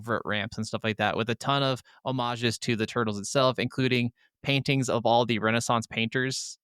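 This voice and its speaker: Clear-voiced man, enthusiastic gaming podcast talk. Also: mid-range voice, fairly bright tone, no echo, clean studio sound. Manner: enthusiastic, casually profane. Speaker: podcast co-host. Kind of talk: casual chat about video games.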